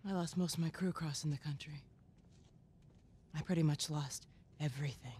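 An actress playing a weary, resolute resistance leader with an American accent in a video game. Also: female voice, low voice, steady tone, moderate pace, warm tone, close to an everyday voice. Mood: weary, wondering. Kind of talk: naturalistic video game acting. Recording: cutscene audio with ambient noise.

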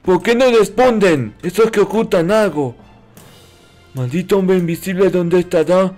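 A man's voice: high-pitched, moderate pace, a little mellow, faint music behind. A man doing playful roleplay commentary over a video game, talking in a childlike, naive, exclamatory way. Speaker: gaming video host.

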